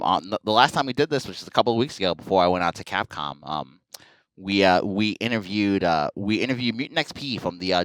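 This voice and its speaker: Low-voiced man, halting podcast host. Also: a bit bright, dry recording. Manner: halting, repeats words, slangy. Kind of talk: casual interview chat.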